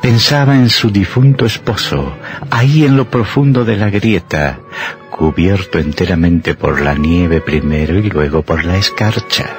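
An actor playing a grave storyteller narrating a horror radio drama. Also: male voice, low-pitched, unhurried, faint music behind. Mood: grave, suspenseful.

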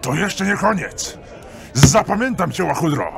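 A man's voice: fairly high pitch, sing-song, unhurried, creaky, a loud crowd, exaggerated voice. A man playing a fat, balding, hot-headed gambler in an audio drama, blustering and taunting. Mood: outraged. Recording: noisy tavern background.

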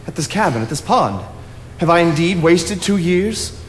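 An earnest, brooding writer of the 1800s in a stage drama. His voice sits fairly high and he declaims with deliberate conviction. It rings in a theatre with faint background noise. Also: sing-song, big-room sound. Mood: troubled. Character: earnest, idealistic 19th-century writer, brooding and impassioned.

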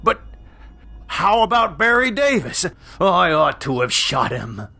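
Man doing a fiery, high-pitched Western hothead. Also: very animated, slow pace, vocal fry, sharp tone, faint music behind, heavily acted. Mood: agitated. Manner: agitated, blustering. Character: fiery, hot-headed Old West frontiersman with a pistol.

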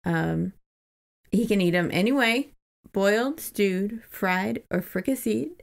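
A woman's voice: medium pitch, slow pace, gravelly. A woman co-hosting a podcast, chatting casually about a book. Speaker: podcast co-host.

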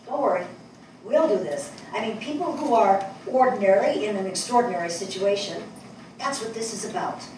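A woman's voice: medium pitch, animated, normal speed, darkish tone, lightly acted. Woman playing reassuring older helper, very echoey. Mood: gently probing. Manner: reassuring, prompting with questions. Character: gentle, encouraging woman writer helping compose eulogies. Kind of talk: dramatic stage reading of a play. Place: small theatre stage before a seated audience. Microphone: distant camera microphone. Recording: very echoey hall, distant microphone, faint noise.

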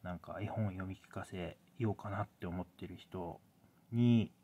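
A man's voice: deep voice, a little slow, lo-fi sound. A man in his thirties talks casually as a parent vlogger, explaining things in a hesitant, think-aloud way with trailing phrases.

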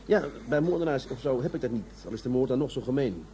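Actor as mellow-voiced, world-weary police adjutant. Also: male voice, mid-range voice, animated, quick pace, a little hiss or hum, close to an everyday voice. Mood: bitter, controlled. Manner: blunt, matter-of-fact. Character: gruff, world-weary male police adjutant who masters his anger. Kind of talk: radio drama acting.

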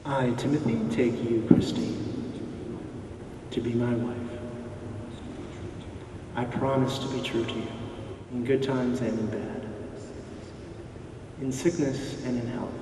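Distant, echoing young groom saying vows. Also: male voice, medium pitch, fairly even intonation, slow, long pauses. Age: 20s. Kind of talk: reciting wedding vows. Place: large church during a wedding. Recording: distant, echoey church, noisy ambience.

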